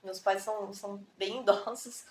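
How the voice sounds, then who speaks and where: A woman with a Brazilian Portuguese accent, a veterinarian appearing as a podcast guest, telling stories in an expressive, emphatic way. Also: medium pitch, moderate pace. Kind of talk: casual chat. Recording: roomy with faint background noise.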